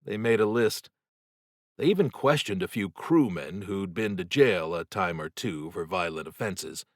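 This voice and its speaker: A man reads a horror novel in a dry, studio-like sound. His voice sits fairly low and he takes his time, very slowly building suspense. The delivery is measured, dramatic storytelling. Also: no room sound. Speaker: audiobook narrator.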